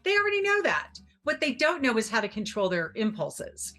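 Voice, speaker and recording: A middle-aged woman appearing as a parenting expert on a TV talk show, explaining her advice calmly and clearly. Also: a little high, normal speed. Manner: calm, explanatory, warm advice-giving.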